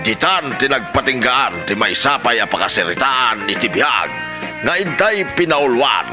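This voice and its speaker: A man's voice: medium pitch, very animated, normal speed. A man hosting a comedy drama, giving a casual, humorous introduction. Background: prominent guitar music.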